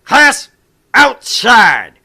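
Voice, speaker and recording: Someone putting on a barking, bright-voiced drill sergeant. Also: mid-range voice, huge pitch swings, very slow, vocal fry, heavily acted. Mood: commanding. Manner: stern, commanding, insulting. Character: barking, foul-mouthed tank instructor sergeant. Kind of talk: military drill shouting and lecturing.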